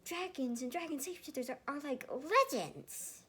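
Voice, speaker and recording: Woman as a high-pitched, awestruck young boy. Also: expressive, moderate pace, bright, forceful tone. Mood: awestruck excitement. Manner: gushing, enthusiastic. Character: wide-eyed excitable young boy, awestruck and earnest. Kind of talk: dramatized fan fiction reading.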